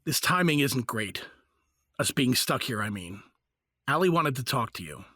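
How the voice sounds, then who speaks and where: A man's voice: mid-range voice, very animated, moderate pace, pushed and bright, slightly rough, no room sound, clean studio sound, full character voice. A man playing a supportive, earnest father in a fantasy audio drama, with a natural conversational delivery. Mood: awkward, explaining. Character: supportive, argumentative father held in a fantasy jail.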